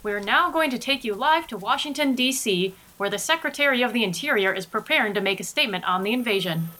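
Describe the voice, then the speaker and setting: A woman's voice: on the high side, expressive, fast, bright, forceful tone. A woman playing a flustered, blunt female news announcer in a 1930s radio spoof, emphatic and indignant, on a noisy recording. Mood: flustered, formal. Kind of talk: 1930s radio broadcast parody acting.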